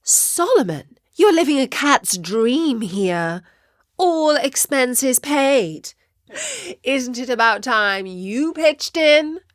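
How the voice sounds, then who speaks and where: A brisk, sarcastic mother from a children's storybook, acted out by a woman reader. She teases and scolds in a raised, incredulous voice, always wry. It is recorded close and dry. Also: high voice, very animated, fairly bright tone, no echo, voice pushed up, exaggerated voice. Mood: scolding. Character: brisk, teasing, sarcastic mother of a young witch.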